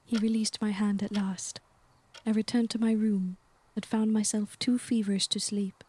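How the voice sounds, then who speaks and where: A reserved young governess, played by a woman in a gothic audio drama. Her voice is soft-edged, mellow and slightly husky, with a formal, earnest delivery. The recording is dry and close. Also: mid-range voice, flat delivery, moderate pace, dry recording, natural voice. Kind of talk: audio drama acting and first-person narration.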